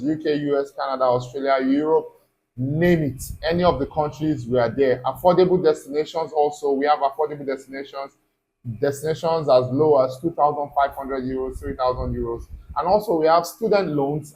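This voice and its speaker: A man's voice: fairly high pitch, darkish tone, slight background noise, low-quality recording. A man, a study abroad consultant, walks viewers through a screen-recorded tutorial step by step and keeps checking in with "okay?".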